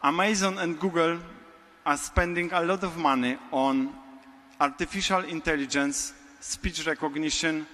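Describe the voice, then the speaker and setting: A man with a Polish accent delivers a prepared keynote in a large hall. His voice sits a little high and bright, and he speaks very slowly, pausing between short phrases. A long reverb from the room trails after each phrase. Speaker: conference speaker.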